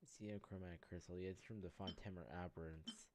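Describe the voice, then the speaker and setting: A man's voice: low voice, steady tone, fast, background noise. A man giving casual gameplay commentary, thinking aloud informally and reading dialogue choices out. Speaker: game streamer playing through a story quest. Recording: game music behind the voice.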